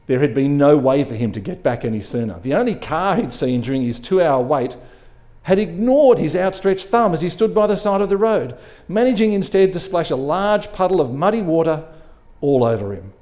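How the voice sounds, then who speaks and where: A male story reader with a soft, mellow tone and a slightly high pitch. He narrates expressively, as at a live reading of short fiction. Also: lively intonation, normal speed.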